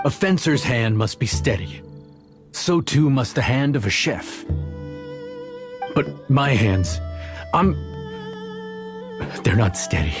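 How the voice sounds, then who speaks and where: An actor playing a gruff, brusque former bandit turned chef who is easily spooked, in a video game. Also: male voice, medium pitch, animated, slow pace, pauses often, music in the background. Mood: nervous. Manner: brusque, faltering when nervous. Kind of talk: expressive video game voice acting.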